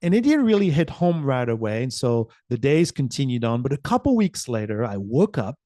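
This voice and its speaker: A man from France, a communication professor, telling a short inspiring personal story with earnest, emphatic pauses. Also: mid-range voice, sing-song, dark tone, no echo, clean studio sound.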